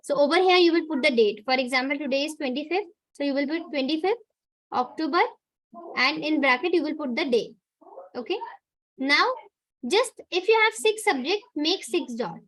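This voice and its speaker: High-voiced Indian woman teacher. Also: animated, normal speed, fairly bright tone, rough recording. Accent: Indian English. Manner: chatty, repeats "right?" to check in with students. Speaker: online teacher. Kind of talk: motivational talk to students in a live class.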